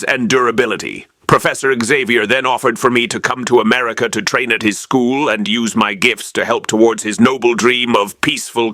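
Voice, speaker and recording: A man's voice: medium pitch, sharp tone, dry recording, studio quality, close to an everyday voice. A man voicing an earnest, proud mutant strongman with a Russian accent, in scripted in-character narration for a game.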